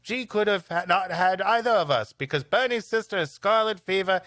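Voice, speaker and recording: A man's voice: sits high, normal speed, fairly bright tone, no echo, studio quality, pitched above the performer's own voice. A man voicing an earnest, loyal English schoolboy, speaking stoutly.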